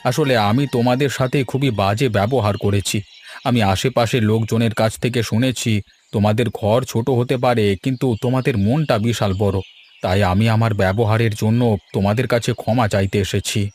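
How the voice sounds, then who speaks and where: A man's voice: lowish voice, fairly even intonation, normal speed, darkish tone, close to an everyday voice. Man acting a mild, earnest cartoon family man. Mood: contrite, earnest. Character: meek, kindly male family elder or husband in cartoon. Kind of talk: Bengali moral-tale cartoon voice acting.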